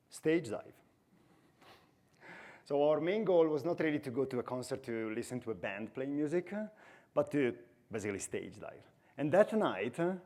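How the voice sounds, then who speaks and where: A man's voice: medium pitch, darkish tone. A man in his forties with an Italian accent, giving a chatty, self-deprecating conference talk on stage into a headset mic. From Italy. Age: 40s. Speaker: conference speaker.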